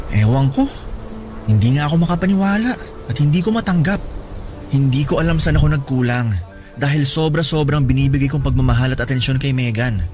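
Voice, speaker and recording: A man's voice: mid-range voice, a little mellow, natural voice. An actor playing a heartbroken, confused young man who talks in a pleading, distraught way in a radio drama, with music behind.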